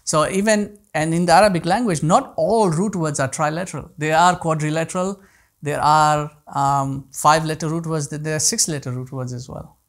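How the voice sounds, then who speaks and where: A man in his thirties, a native Kutchi speaker, teaches a class, his fairly high voice working through each point with frequent restarts and repeated words. He sounds close to a lapel mic in a small indoor room. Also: steady tone, moderate pace. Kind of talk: online class lecture answering questions.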